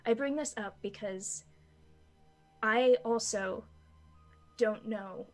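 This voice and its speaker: A woman playing a troubled female soldier at a tabletop game, speaking haltingly and restarting as she hunts for words. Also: mid-range voice, natural voice. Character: doubting woman soldier, earnest and unsure. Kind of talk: in-character tabletop roleplay.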